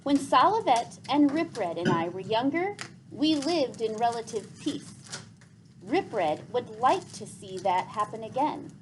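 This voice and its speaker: Grave old man played by a woman, gentle, formal, measured, storybook read-aloud, expressive swings in pitch. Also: noisy background. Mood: earnest.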